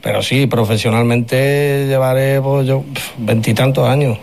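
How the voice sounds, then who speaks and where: A man from Spain who sings flamenco speaks in a loose, rambling way. His voice sits at a middle pitch. He talks easily, piling up thoughts. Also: fairly even intonation, a little slow, vocal fry, a little mellow. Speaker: flamenco singer. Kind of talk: casual interview.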